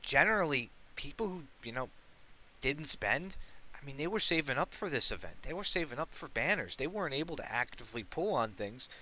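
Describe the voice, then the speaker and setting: A young man hosting a casual gaming podcast. He talks in an excitable, rambling way and swears casually. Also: mid-range voice, fairly quick, slight background noise, rough recording. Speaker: podcast host.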